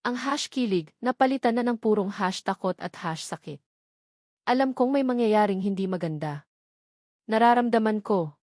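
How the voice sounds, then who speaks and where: A woman reading a scripted first-person dramatic story in measured, short phrases. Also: mid-range voice, darkish tone, dry recording, clean studio sound. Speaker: story narrator.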